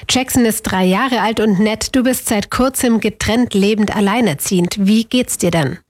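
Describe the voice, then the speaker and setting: Breathy-voiced podcast host. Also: female voice, mid-range voice, normal speed, no room sound. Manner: encouraging, frequent "mhm" and "ja" backchannels. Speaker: podcast host, mother of three. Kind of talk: conversational podcast interview.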